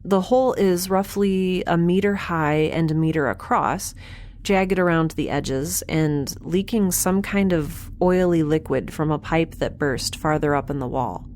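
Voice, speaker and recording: A young woman scientist in a sci-fi audio drama. Her voice sits fairly low, with a dry, sardonic edge and exact wording. She is stubborn and wry, over a faintly noisy ambience. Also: a little slow, darkish tone. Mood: intent, observing. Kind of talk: audio drama acting, first-person log narration.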